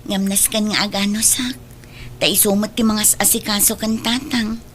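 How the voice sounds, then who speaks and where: A woman's voice: medium pitch, steady tone, normal speed, fairly bright tone, a little hiss or hum, natural voice. A woman playing a dutiful, self-sacrificing younger sister in an audio drama, speaking earnestly with an Ilocano accent. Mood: wistful.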